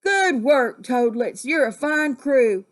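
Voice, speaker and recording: A woman's voice: sits high, animated, takes it slowly. A woman voicing a grumbly but hearty old male sea captain from a storybook, with salty sailor talk.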